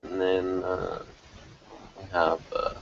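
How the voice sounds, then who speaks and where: A man's voice: deep voice, completely flat delivery, slow pace, dull audio. A man chatting casually as he co-hosts a review, with a wry, nitpicking, hesitant manner. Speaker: podcast co-host reviewing a TV episode.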